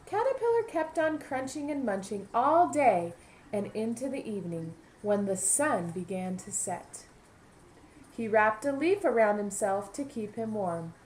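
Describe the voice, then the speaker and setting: A woman's voice: a little high, expressive, measured pace, a little mellow, slight background noise, low-quality recording. A woman, a kindergarten teacher, reading a children's story aloud and adding teaching asides.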